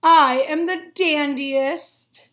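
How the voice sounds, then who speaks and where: A woman voicing boastful, excitable little cartoon grass blades from a storybook, with emphatic, drawn-out delivery. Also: high-pitched, heavily acted. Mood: proud.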